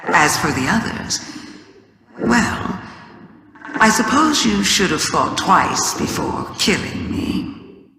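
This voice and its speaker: Video game villain: cunning alien witch goddess, low-pitched for a woman, unhurried, grand and cryptic, drenched in echo. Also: sing-song. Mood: taunting. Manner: theatrical, deliberate, cryptic. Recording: cavernous hall reverb, crowd-like murmur behind.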